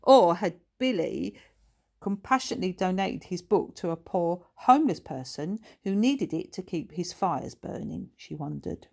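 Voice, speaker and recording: A woman reading a children's book as its author, in expressive, scripted storytelling narration. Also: on the low side, normal speed, vocal fry.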